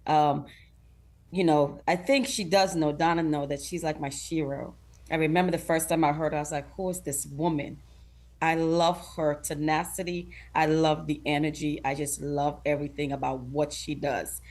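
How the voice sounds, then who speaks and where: A woman's voice: sits low, fairly even intonation, moderate pace, a little mellow. A middle-aged woman from Guyana, a talk show guest who speaks earnestly, laughs often and acts out what other people say. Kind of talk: casual interview chat.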